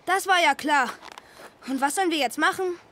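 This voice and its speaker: A performer with a high voice playing a sulky, sceptical, needling young boy who grumbles and challenges, in a German audio drama. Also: moderate pace, background noise, close to an everyday voice.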